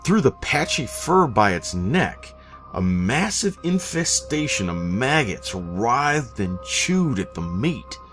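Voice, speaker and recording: A man reading a first-person horror story in a deliberate, theatrical way with dramatic pauses. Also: mid-range voice, very animated, a bit bright. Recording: narration over background music. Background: eerie background music.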